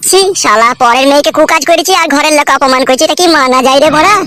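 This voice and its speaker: Woman voicing a high-pitched, brash cartoon son. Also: quick pace, a bit bright, dry recording, clean studio sound, faint music behind. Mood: bitter. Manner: brash, crude, complaining. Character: insolent, foul-mouthed grown son, cartoon character. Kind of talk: cartoon comedy dialogue.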